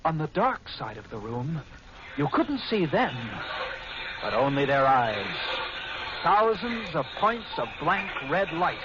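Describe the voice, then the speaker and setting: An actor playing a haunted lighthouse keeper who narrates a horror tale intensely and dramatically in old-time radio style. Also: male voice, on the high side, very animated, slow pace. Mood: morbid fascination. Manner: intense, dramatic, repeats phrases for suspense. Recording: very noisy, muffled vintage radio recording.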